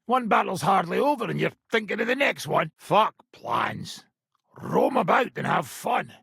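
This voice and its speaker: Raspy, gravelly swaggering mercenary nobleman. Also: sits high, sing-song, normal speed, fairly bright tone, no room sound, clean studio sound, full character voice. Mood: sardonic, gruff. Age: middle-aged. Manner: brash, sardonic, swearing. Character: gruff, swaggering middle-aged mercenary nobleman, foul-mouthed medieval soldier. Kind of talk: video game voice acting.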